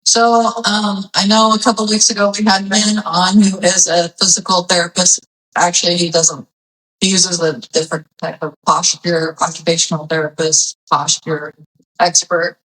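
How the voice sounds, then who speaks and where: A woman's voice: on the low side, level tone, normal speed, fairly bright tone, recorded from a distance, low-quality recording. A woman hosting an interview show, chatty and playful, sometimes rambling through her questions. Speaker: podcast host. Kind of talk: casual interview.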